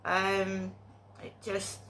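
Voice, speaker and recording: A woman's voice: mid-range voice, monotone, extremely slow, a bit bright, a little hiss or hum. A middle-aged woman with a cold and sore throat, talking in a rambling, hesitant monologue full of ums and restarts. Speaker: vlogger.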